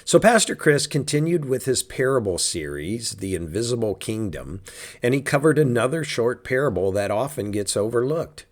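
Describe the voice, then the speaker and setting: A man with a raspy, hoarse voice from a sinus cold, hosting a casual church podcast in an easygoing, chatty way. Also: medium pitch, big pitch swings, moderate pace. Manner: easygoing, chatty, with many fillers. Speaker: podcast host.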